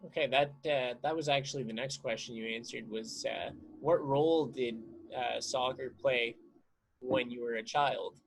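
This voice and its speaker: A man hosting a casual interview, putting his questions in a halting, deliberate way. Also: mid-range voice, steady tone, creaky, soft background music.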